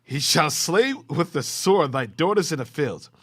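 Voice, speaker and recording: A man reading scripture aloud in a class, with a steady, formal reading cadence. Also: fairly high pitch, measured pace, breathy edge.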